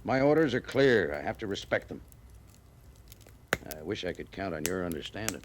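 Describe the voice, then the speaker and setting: An actor playing a firm, weary, duty-bound army major in a 1950s radio western, deliberate and formal, on a vintage recording. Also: male voice, low-pitched, very animated, heavy background noise. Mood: firm, troubled.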